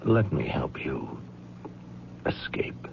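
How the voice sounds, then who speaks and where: An actor playing a grave, compassionate investigator in a 1970s radio drama, speaking in a measured, persuasive way. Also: male voice, low-pitched, wildly swooping pitch, gravelly, noisy background, full character voice. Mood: grave persuasion. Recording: dull, humming vintage radio recording.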